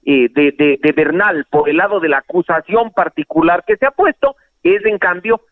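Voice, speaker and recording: A fairly high-voiced man comes through a narrow, tinny phone line. He explains legal matters calmly and at length, with occasional hesitations. The sound is dry and close. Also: normal speed, no room sound. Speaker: lawyer and legal analyst guest. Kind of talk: phone interview on radio. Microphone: telephone line.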